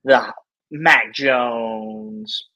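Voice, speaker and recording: A man with a mid-range voice hosts a relaxed podcast interview. He talks informally and with plenty of slang, putting his opinions in as he asks questions. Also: mid-range voice, very slow. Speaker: podcast host. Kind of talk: casual interview chat.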